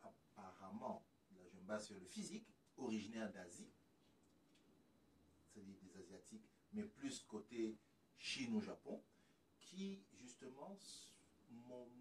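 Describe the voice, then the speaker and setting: A man's voice sounds somewhat away from the microphone, with slight noise. He hosts in a casual conversational style. His delivery is halting and roundabout, often restarting before he reaches the point. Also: fairly low pitch, very animated. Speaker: host. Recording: distant mic with noise.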